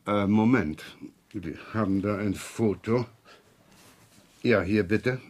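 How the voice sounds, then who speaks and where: An actor playing a wily middle-aged police inspector in a radio crime drama, dryly sarcastic, probing and feigning absent-mindedness. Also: male voice, lowish voice, slow. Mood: feigned casualness. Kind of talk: 1970s German radio crime drama acting.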